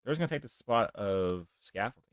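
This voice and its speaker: A young man with a deep voice, rambling softly through casual live gameplay commentary as a streamer. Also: expressive, fairly quick, no room sound, clean studio sound. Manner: rambling, talks softly, near whispering.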